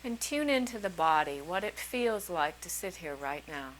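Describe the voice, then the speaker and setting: A woman leading a guided meditation as a teacher, speaking soothingly in short, unhurried phrases with long pauses between them. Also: lowish voice, lively intonation, slight background noise, rough recording. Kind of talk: guided meditation, slow calming instruction.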